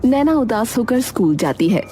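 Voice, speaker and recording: A woman's voice: a little high, very animated, normal speed, dark tone, soft background music. A woman reading scripted narration for a story as the storyteller.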